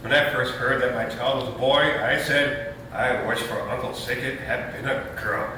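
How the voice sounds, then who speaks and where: A man's voice: medium pitch, fairly even intonation, moderate pace, bright, forceful tone, lightly acted. An actor playing a brash, scheming young father in a readers theater comedy, glib and emphatic. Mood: smooth insistence. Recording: echoey hall, distant mic, faint noise.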